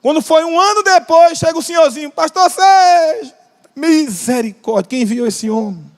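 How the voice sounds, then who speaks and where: A man preaching a sermon with a Brazilian Portuguese accent, impassioned, stretching out key phrases and joking with the congregation. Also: very high voice. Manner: impassioned, drawn-out emphatic phrases, jokes with the congregation. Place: large church hall. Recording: long church hall reverb.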